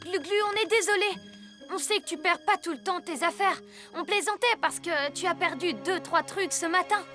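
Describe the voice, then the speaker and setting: A young child team leader in a kids' cartoon, earnest and commanding. The voice is very high and bright, with music softly behind. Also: female voice, moderate pace. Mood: apologetic. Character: young child superhero, bossy but caring team leader. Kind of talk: dubbed children's cartoon acting.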